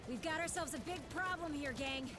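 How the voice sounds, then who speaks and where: An actress playing a brisk, confident female hero in a video game. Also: high voice, lightly acted. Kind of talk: video game cutscene acting. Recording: loud music behind the voice.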